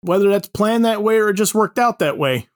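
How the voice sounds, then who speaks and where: A man's voice: sits high, animated, fairly quick, dry recording, studio quality. A man hosting a casual panel chat, talking informally with the others. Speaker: podcast host.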